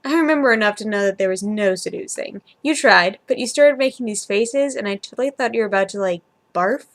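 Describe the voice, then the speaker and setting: A woman's voice: mid-range voice, brisk, lightly acted. A woman voicing a confused, earnest, hungover young man in a fan fiction reading.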